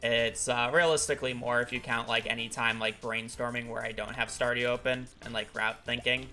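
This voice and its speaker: A man's voice: mid-range voice, flat delivery, fairly quick, bright, forceful tone, faint music behind. A man talking casually over a live gaming stream, explaining at length with many fillers, his voice congested from a recent illness. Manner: rambling, filler-heavy explanations.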